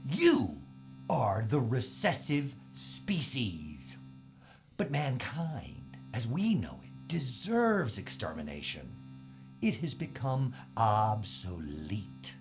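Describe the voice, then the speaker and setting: An actor playing a fanatical, grandiose mad scientist villain who monologues theatrically in a science fiction audio drama, with a dull recording. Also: male voice, medium pitch, wildly swooping pitch, slow pace, gravelly, faint music behind, full character voice. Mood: ranting, bitter. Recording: dull band-limited sound.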